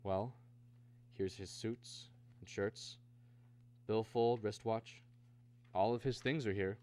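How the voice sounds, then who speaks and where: A man's voice: on the low side, steady tone, slow pace, dark tone. An actor in a radio drama playing an earnest, troubled man, both narrating and acting in the scenes. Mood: puzzled, subdued. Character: earnest, troubled man searching for his missing brother. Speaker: lead and narrator.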